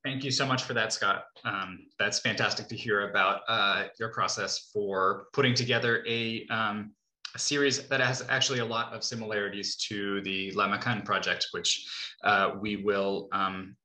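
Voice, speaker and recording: Man in his thirties, moderator, lowish sharp voice, halting, echoey room on video call. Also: fairly even intonation. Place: living room, indoors. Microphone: laptop mic on a video call.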